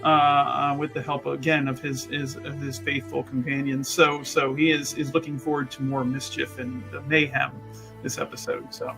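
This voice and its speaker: A man's voice: mid-range voice, flat delivery, normal speed, vocal fry, over music, poor audio. A man playing a tabletop game, casually introducing himself in wordy, mock-formal phrases with a hesitant delivery.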